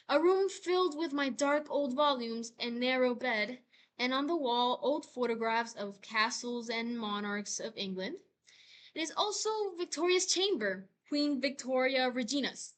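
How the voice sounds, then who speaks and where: A young woman voicing a tormented, self-loathing young intellectual in a measured dramatic reading. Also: fairly high pitch, a bit bright.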